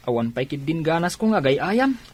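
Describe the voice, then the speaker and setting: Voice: mid-range voice, darkish tone, husky, heavily acted. An actor playing a whiny, sulking young boy in an Ilocano radio drama.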